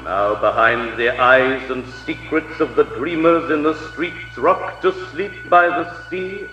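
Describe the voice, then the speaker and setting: A man's voice: medium pitch, a little slow, music in the background. A man narrating a poetic radio play dramatically, in an old-sounding broadcast recording. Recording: distant, reverberant, dull archive radio recording.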